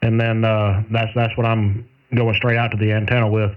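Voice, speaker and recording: A man's voice: on the low side, level tone, normal speed. A man chatting casually as a radio operator, with folksy, rural phrasing. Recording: tinny narrowband radio transmission, far from mic.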